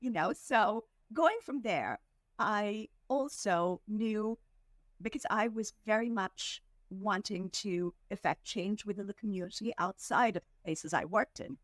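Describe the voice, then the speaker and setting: Measured, earnest woman from India. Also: mid-range voice, dry recording. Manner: measured, earnest, slows down to stress points. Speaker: podcast guest, fundraising executive. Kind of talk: reflective interview answers.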